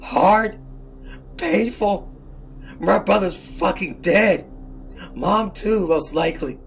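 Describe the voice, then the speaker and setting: A man's voice: high-pitched, takes it slowly, vocal fry, a little hiss or hum, voice pushed up, full character voice. A man voicing a grieving, bitter teenage boy in an audio horror story, blunt and foul-mouthed in his anguish. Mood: anguished, angry.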